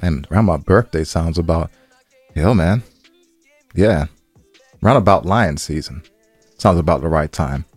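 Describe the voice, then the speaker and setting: Deep-voiced American man bantering playfully. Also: a little slow, long pauses, a little mellow, slightly rough, no room sound, soft background music. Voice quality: low-pitched voice. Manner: slangy, joking, repeats himself for emphasis. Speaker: podcast co-host. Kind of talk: casual playful banter with partner.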